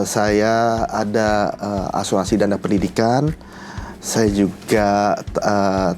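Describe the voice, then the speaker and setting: A man's voice: lowish voice, a little mellow, a little hiss or hum. A man in his forties answering interview questions in plain conversational speech. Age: 40s. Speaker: interviewee, father of two.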